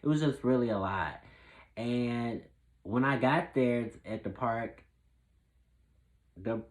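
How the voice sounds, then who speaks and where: A man's voice: mid-range voice, slow. A man with a head cold, sounding stuffed up, who chats casually to his viewers with many restarts and self-corrections. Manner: laughs at his own jokes. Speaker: filmmaker vlogger. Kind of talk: casual talking-to-camera vlog.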